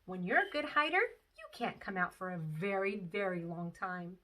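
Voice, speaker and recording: A woman's voice: on the low side, big pitch swings, moderate pace, pushed and bright, full character voice. A woman reading a children's storybook aloud as a bold, chatty little kindergarten girl, emphatic and exclamatory. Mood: earnest. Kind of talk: expressive children's read-aloud.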